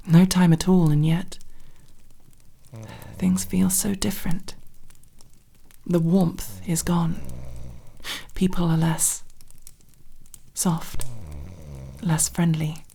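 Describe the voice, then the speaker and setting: A woman playing a proud but nervous young elf rogue in an ASMR roleplay, with wry, halting delivery. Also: fairly low pitch, fairly even intonation, vocal fry, mellow, slightly rough, heavily acted. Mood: wistful, hushed.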